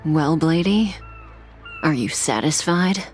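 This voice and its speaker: Sly, commanding female schemer, lowish voice, very slow teasing drawl, anime game. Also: expressive, faint music behind. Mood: teasing, smug. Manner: teasing, drawn-out, self-assured. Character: sly, playful, commanding woman, teasingly in control. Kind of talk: dramatic anime game voice acting.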